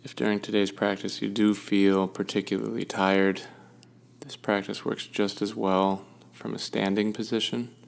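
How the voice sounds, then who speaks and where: A man leading a guided meditation with gentle, soothing instruction and unhurried pauses. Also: fairly low pitch, level tone, gravelly.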